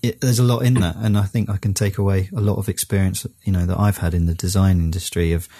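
A man with a lowish voice who co-hosts a podcast in a casual, meandering way. He hesitates and fills gaps with ums and uhs, and the sound is dry and close. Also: fairly even intonation, fast talker, mellow, breathy edge, dry recording. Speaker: podcast co-host. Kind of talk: casual chat.

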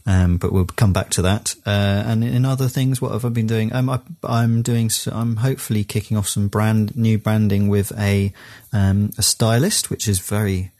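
A man with a lowish voice who co-hosts a podcast in a casual, meandering way. He hesitates and fills gaps with ums and uhs, and the sound is dry and close. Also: fairly even intonation, normal speed, hardly any pauses, darkish tone, no echo. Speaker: podcast co-host. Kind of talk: casual chat.

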